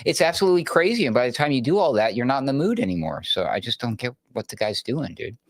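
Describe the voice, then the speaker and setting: A man's voice: medium pitch, sing-song, quick pace, no room sound, clean studio sound. A man in his forties co-hosting an online talk show, joking and exaggerating in casual chat. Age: 40s. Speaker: podcast co-host.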